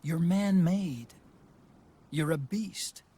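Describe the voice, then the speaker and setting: A man playing a loyal, earnest friend in a video game cutscene, with a soft, husky voice. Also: on the high side, extremely slow, exaggerated voice. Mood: solemn, devoted. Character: loyal, earnest male friend of an old soldier.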